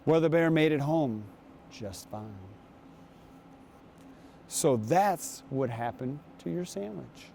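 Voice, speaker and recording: A man reading aloud and talking to children as a guest reader, with an unhurried, storytelling manner. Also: on the high side, expressive, gravelly, dark tone, a little hiss or hum. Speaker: guest reader, basketball coach.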